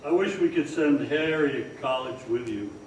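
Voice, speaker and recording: A man's voice: fairly high pitch, lively intonation, lightly acted. Distant, echoey, kindly tired father played by a man. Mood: weary. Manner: gentle, measured, plain-spoken. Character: tired, kindly older father of modest ideals. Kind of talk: old-time radio drama acting on stage. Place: performance hall, far from the microphone. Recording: very echoey, distant stage recording, faint noise.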